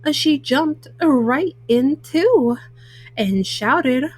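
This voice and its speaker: A woman reads a children's picture book aloud, haltingly, often stopping on small hesitant fillers. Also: high-pitched, animated, dry recording. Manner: halting, word-by-word reading with frequent hesitant fillers. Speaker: storyteller, host of a children's read-aloud show.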